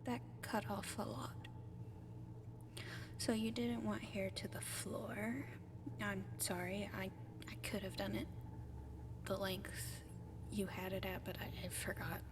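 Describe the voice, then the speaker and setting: A woman voicing a gentle giant alien in an ASMR roleplay, speaking softly and often whispering, in a hesitant, halting, explaining way. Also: mid-range voice, level tone, noisy background, close to an everyday voice. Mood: gentle, apologetic. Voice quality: soft, breathy, often whispered. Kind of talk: ASMR roleplay addressed to the listener.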